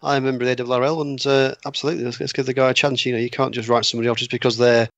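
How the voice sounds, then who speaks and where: A man's voice: medium pitch, level tone, rapid-fire, fairly bright tone, no echo, lo-fi sound. A man chatting casually as a podcast panelist, offering his opinion in plain conversation. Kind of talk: casual discussion.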